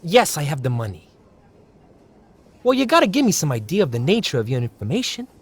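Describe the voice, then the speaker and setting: An actor in an audio drama playing a short, shifty, bullying political fixer with a blustering, argumentative way of talking. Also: male voice, a little high, huge pitch swings, fairly quick, husky, full character voice. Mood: guarded, bargaining.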